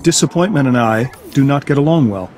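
An actor playing a cool, menacing, commanding trade boss in a video game, speaking in a measured, threatening way. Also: male voice, medium pitch, lively intonation, darkish tone, natural voice. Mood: quietly threatening.

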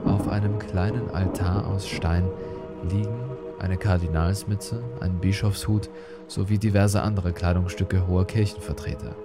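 A man's voice: sits low, fairly even intonation, moderate pace, over loud music. A man reading a scripted horror story as narrator, with dramatic delivery.

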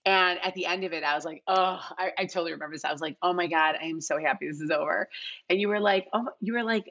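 Middle aged woman guest on a podcast, bright forceful voice, kinda low, chatting casually. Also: quick pace, muffled recording. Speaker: casting director, podcast guest. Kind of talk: casual conversational interview. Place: home living room, video call.

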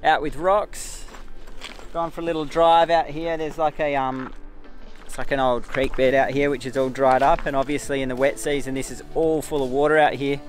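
A man with an Australian accent, chatting casually outdoors as a family travel vlogger, relaxed and full of ums and likes. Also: medium pitch, moderate pace, faint music behind. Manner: relaxed, chatty, uses fillers like um and like. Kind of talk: casual vlog narration. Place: outdoors in the bush.

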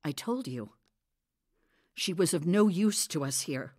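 A woman's voice: on the low side, expressive, unhurried, a little mellow, dry recording, studio quality. An actress playing a guarded, proud village healer in a radio drama, curt and evasive in her stern manner. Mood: stern, withholding.

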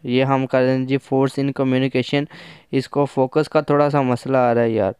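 Casting: male tech reviewer, very smooth clear voice, dry close sound, casual step-by-step explaining. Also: mid-range voice, flat delivery, measured pace, a little mellow, dry recording. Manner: conversational, instructional, mixes in English terms. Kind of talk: casual hands-on phone app tutorial.

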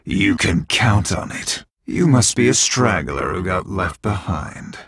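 An actor playing an arrogant, power-drunk jackal villain in a video game, husky and breathy, with haughty, menacing, deliberate taunts. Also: male voice, deep voice, animated, fairly bright tone, no room sound, heavily acted. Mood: contemptuous, threatening.

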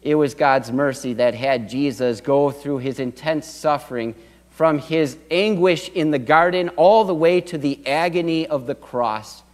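A middle-aged man preaching a sermon from a church pulpit, earnest, with swells of emphasis. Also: mid-range voice. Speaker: pastor. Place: church sanctuary at a wooden pulpit. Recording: long church reverb.

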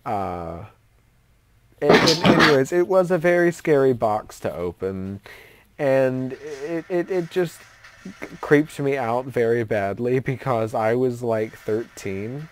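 A woman's voice: extremely low pitch, animated, takes it slowly, slight background noise. A young woman hosting a casual voice-chat story session, telling a story with many fillers and restarts. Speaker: host of a story-time voice chat. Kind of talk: casual storytelling over voice chat while gaming.

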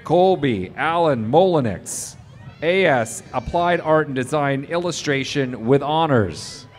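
A man reading out graduates' names and degrees at an outdoor commencement, in a slow, measured way over a podium microphone. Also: a little high, animated, slight background noise. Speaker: graduation name reader. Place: outdoor stadium commencement stage.

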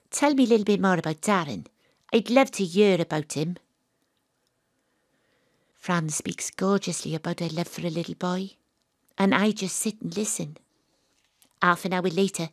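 An actress playing a sympathetic, self-mocking private detective, narrating an audio drama in a theatrical, wry manner. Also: female voice, on the low side, no room sound, studio quality. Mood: resolute. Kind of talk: first-person audio drama narration and dialogue.

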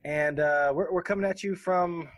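A man's voice: a little high, normal speed, no room sound. A man hosting a podcast in an upbeat, chatty, jokey, unscripted way. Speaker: podcast host.

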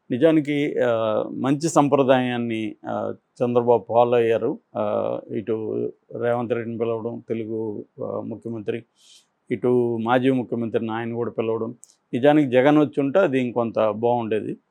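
A man gives political analysis in a medium-pitched voice. He speaks unscripted, breaking his commentary into halting phrases with frequent pauses. The sound is dry, with very little room. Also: vocal fry, dark tone, no room sound.

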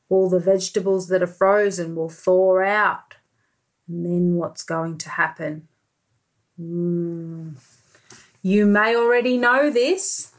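An Australian-accented woman, a preschool teacher in her thirties, reading a picture book aloud expressively with questions and deliberate emphasis. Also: medium pitch. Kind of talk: picture-book read-aloud for young children. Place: small room indoors by a window.

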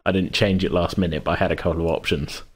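A man's voice: low-pitched, fairly even intonation, fast talker, breathy edge. A man talking casually as host and game master, in a rambling, hesitant, self-correcting way. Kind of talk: casual chat about a tabletop game.

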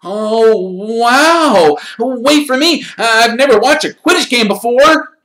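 A man voicing an excitable young schoolboy fan who gushes out breathless questions. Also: very high-pitched, voice pushed up. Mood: eager. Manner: breathless, gushing, rapid questions. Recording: roomy echo.

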